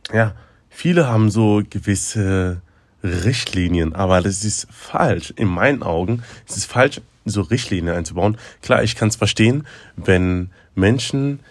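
A man's voice: on the low side, expressive, a little slow, no echo. A young man co-hosting a podcast, talking casually and hesitantly with lots of fillers. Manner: hesitant, many fillers, laughs loudly. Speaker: podcast co-host. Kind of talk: casual chat.

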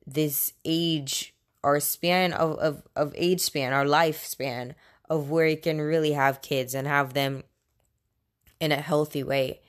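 Low-voiced young woman talking candidly. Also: fairly even intonation, slow pace. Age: late 20s. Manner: conversational, candid, opinionated. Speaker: podcast host. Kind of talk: casual personal monologue on faith.

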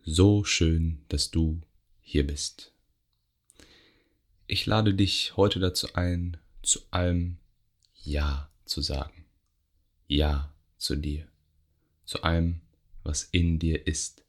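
A man guiding a yoga nidra meditation in a gentle, unhurried way, pausing between short phrases. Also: extremely low pitch, fairly even intonation. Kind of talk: guided meditation, soothing relaxation instruction.

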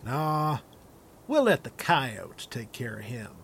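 A man's voice: medium pitch, sing-song, slow, creaky, a bit bright, a little hiss or hum, exaggerated voice. A man playing a tough, callous Old West outlaw in a western radio drama. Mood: cold, scheming.

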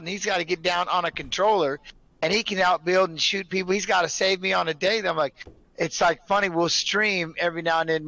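Bright, lively man in his forties. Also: sits high, no echo. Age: 40s. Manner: lively, quotes people in acted voices. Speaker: guest, father who streams with his son. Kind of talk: casual group chat with animated storytelling.

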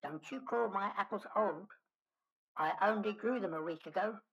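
Storybook apple tree, loud huckster type, bright husky voice, higher than normal, man puts it on. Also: normal speed, heavily acted, rough recording. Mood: indignant. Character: boastful loud-mouthed apple tree, fruit seller.

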